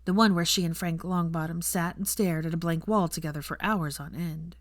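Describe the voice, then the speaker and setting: A woman's voice: on the low side, steady tone, fairly quick, no echo, studio quality. A woman reading a scripted story as the narrator of a fan fiction audiobook.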